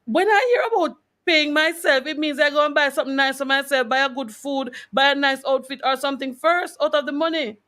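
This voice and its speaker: A woman with a Jamaican accent hosting a radio talk, colloquial and animated, putting questions to her guest in a conversational way. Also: high-pitched, a little fast, hardly any pauses. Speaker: radio host interviewing a guest.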